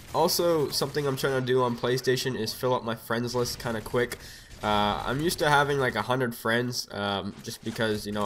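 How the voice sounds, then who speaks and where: A young man with a cold and a slightly raspy voice rambles through casual gameplay commentary, heavy on fillers and asides. He sits at a home setup speaking into a desk mic, with faint background noise. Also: mid-range voice, a bit bright. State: has a cold, a bit raspy. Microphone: USB desk mic.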